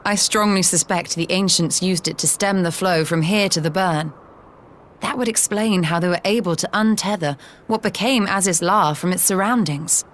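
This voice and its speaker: A woman playing a composed, scholarly young mage in a fantasy video game, speaking in a measured, formal, analytical way. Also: fairly low pitch, slight background noise, natural voice. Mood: measured, assured. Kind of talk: dramatic video game cutscene acting.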